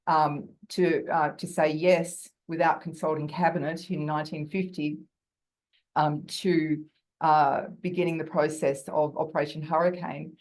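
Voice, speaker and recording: A woman with a croaky voice giving an academic talk over a video call, thoughtful and full of fillers and restarts. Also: deep voice, low-quality recording. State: croaky from bronchitis. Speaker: guest lecturer.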